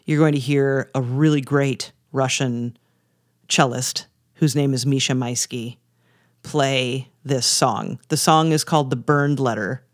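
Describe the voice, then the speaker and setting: A man's voice: medium pitch, steady tone, a little slow, dry recording. Chatty American man, dry close sound. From United States. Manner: chatty, enthusiastic, joking. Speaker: podcast co-host. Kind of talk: casual chat about classical music.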